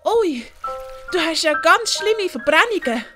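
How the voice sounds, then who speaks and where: A woman acts out a kind little boy in an audiobook tale. The voice is high and lively, gentle and curious, with faint music underneath. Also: a little slow, full character voice. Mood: curious, caring. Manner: wondering, gentle.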